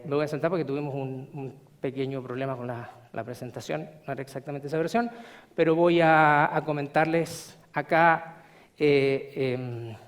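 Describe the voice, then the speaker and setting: Chilean man giving a conference talk. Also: fairly high pitch. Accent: Chilean Spanish. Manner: measured, explanatory, frequent filler sounds. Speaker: conference speaker. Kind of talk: conference presentation. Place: conference hall.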